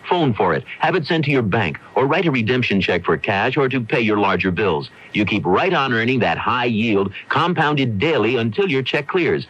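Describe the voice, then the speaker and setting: A man's voice: medium pitch, expressive, normal speed, few pauses, fairly bright tone, breathy edge. An American man reading a scripted radio commercial on an old AM broadcast. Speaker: commercial announcer. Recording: dull vintage AM radio recording.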